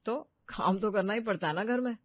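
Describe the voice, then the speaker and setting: A man's voice: sits high, fairly quick, no room sound, natural voice. Weary, conflict-shy young husband acted by a man, dismissive, slightly muffled dry recording. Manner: dismissive, placating. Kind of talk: Hindi family drama storytelling.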